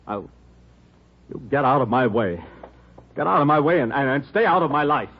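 A man's voice: medium pitch, animated, moderate pace, slightly rough. An actor playing an evasive, defensive man who stammers nervously in a 1950s radio drama. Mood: agitated, angry. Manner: stammering, agitated. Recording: dull old radio broadcast.

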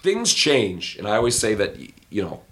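Hoarse, raspy man with opinions. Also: lowish voice, big pitch swings, normal speed, fairly bright tone. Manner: opinionated, emphatic. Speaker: podcast co-host. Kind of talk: casual chat about a wrestling show. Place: hotel room.

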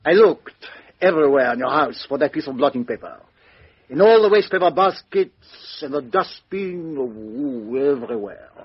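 An actor playing a shrewd, methodical foreign detective in a 1950s radio mystery, speaking in a deliberate, courteous, theatrical way with rhetorical questions. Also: male voice, fairly high pitch, expressive, breathy edge. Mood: insistent, probing. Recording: dull lo-fi 1950s radio recording.

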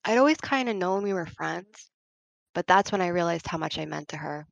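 Casting: seasoned female friend played by a woman, fry-laced lower voice, frank and understated, audio drama, slightly dull close sound. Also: expressive, fairly quick, no room sound. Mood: reflective, wry.

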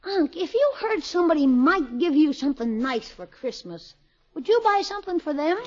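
A man's voice: very high voice, sing-song, moderate pace, darkish tone. A man playing an eager, scheming young boy who talks like a brash store salesman in a 1950s radio sitcom. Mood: eager, sly. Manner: brash, wheedling salesman patter. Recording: muffled, noisy old radio recording.

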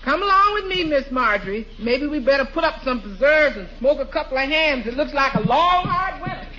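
A muffled, slightly hissy old radio comedy. a good-natured woman housekeeper, deferential but droll, with a very high, sharp voice. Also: expressive, fairly quick, heavily acted. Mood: droll, amused. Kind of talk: 1940s radio comedy acting.

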